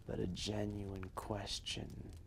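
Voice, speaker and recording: A man playing an ancient, wise and gentle dragon, telling a story in a hushed, breathy, measured voice with long pauses. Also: on the low side, flat delivery, a little hiss or hum. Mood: reflective, solemn. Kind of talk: slow intimate storytelling roleplay, ASMR-like.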